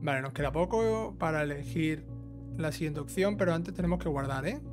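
High-pitched man guiding through a video game. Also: brisk. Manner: instructional, often adding short check-in tags. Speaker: gaming YouTuber giving a trophy guide. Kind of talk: casual video game walkthrough commentary. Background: background music.

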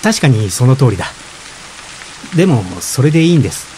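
An actor playing a polite, gentle, self-effacing expectant father in reflective radio drama dialogue. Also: mid-range voice, very animated, fairly quick, a little hiss or hum, natural voice, low-quality recording. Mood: gently reflective. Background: rain.

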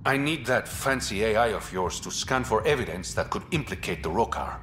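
An actor playing a suave, roguish young smuggler in a video game, smooth, sly and teasing. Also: male voice, fairly low pitch, animated, brisk, fairly bright tone, slight background noise. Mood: serious, intent. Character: suave, roguish adult male smuggler and informant. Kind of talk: video game dialogue acting.

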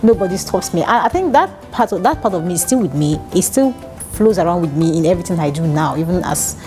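A woman in her thirties with a Nigerian accent, a musician guest talking in an animated way with frequent laughs and restarts, seated in a studio. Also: fairly low pitch, fast talker, soft background music. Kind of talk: casual interview chat. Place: dark television studio set, seated in armchair. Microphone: lapel mic.

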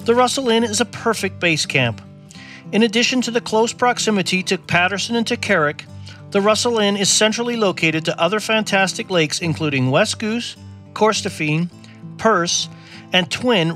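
A man's voice: sits high, moderate pace, fairly bright tone. Upbeat male voice-over with guitar. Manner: upbeat, promotional. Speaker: show narrator. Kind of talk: scripted voice-over narration.

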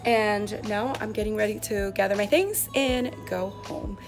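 A woman's voice: mid-range voice, measured pace, faint music behind. A woman in her thirties, a teacher, chatting casually to her phone at arm's length in an empty classroom. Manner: chatty, animated, gestures while talking. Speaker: teacher vlogger. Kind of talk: casual classroom vlog recap.

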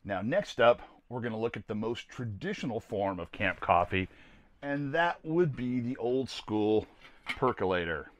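A man's voice: mid-range voice, animated, measured pace, dry recording. An older American man giving a casual, plainspoken product review to camera in a folksy, rambling way. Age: older, around 60s. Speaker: host of a camping gear video series. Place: small camper trailer interior.

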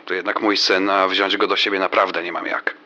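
Middle-aged father, rather low but bright, forward voice, easygoing conversational audio drama style. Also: flat delivery, a little fast, dull audio, slight background noise. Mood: conciliatory. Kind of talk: naturalistic audio drama dialogue.

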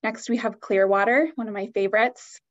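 A woman's voice: medium pitch, fairly even intonation, brisk, highs cut off. A woman giving an upbeat, enthusiastic product presentation on a video call.

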